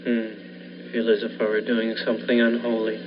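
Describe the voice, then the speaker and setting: A man's voice: lowish voice, monotone, moderate pace, close to an everyday voice. A man playing an uneasy, loyal surgeon friend, hesitant and full of doubt, in 1940s radio thriller style. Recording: dull lo-fi audio, faint noise.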